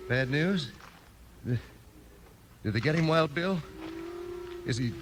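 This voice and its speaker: An actor playing an earnest, plainspoken young rancher in a radio western, with an American Western accent. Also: male voice, on the high side, animated, takes it slowly. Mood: grieving, determined. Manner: plainspoken, rustic. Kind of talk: 1950s radio western acting. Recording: noisy, clicking vintage radio recording.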